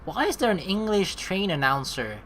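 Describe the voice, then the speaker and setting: A woman's voice: medium pitch, big pitch swings, moderate pace, fairly bright tone, slight background noise. A woman hosting a let's play, joking and exclaiming as she comments and reads game text aloud. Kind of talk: gameplay commentary mixed with reading game narration aloud. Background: game music.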